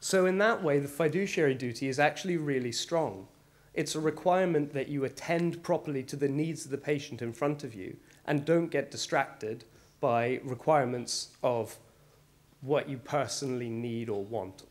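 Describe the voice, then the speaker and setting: A man with a gravelly voice, hoarse from a cold, giving an academic lecture in a wry, measured way. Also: medium pitch. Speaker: guest lecturer.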